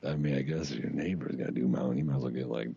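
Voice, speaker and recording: A man co-hosting a podcast in casual, slangy, sweary banter, acting out mock quotes in a gravelly, husky voice. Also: extremely low pitch, steady tone, fast, warm tone, lo-fi sound. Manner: slangy, profane, riffing with acted-out quotes. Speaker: podcast co-host. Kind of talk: casual comedic chat.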